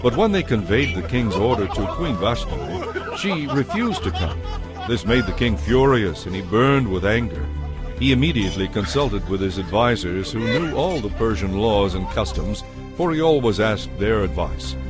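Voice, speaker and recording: A man's voice: fairly low pitch, sing-song, moderate pace. A man narrating a dramatized audio Bible in a scripted, storytelling style. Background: music.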